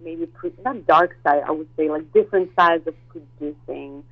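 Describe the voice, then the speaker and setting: Woman, halting, lo-fi. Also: low-pitched, no echo. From Russia. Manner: hesitant, many fillers and restarts, laughs easily. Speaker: actress and model guest. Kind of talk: interview chat.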